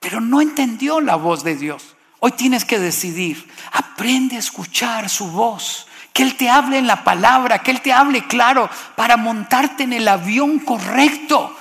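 A man's voice: extremely high pitch, lively intonation, unhurried, a bit bright, big-room sound. A man from Costa Rica preaching a sermon, impassioned and building to exclamations.

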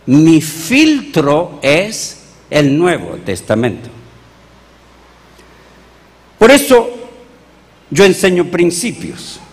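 Chilean male pastor, high voice, animated sermon delivery, reverberant hall. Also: very slow, long pauses. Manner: animated, rhetorical questions to the congregation.

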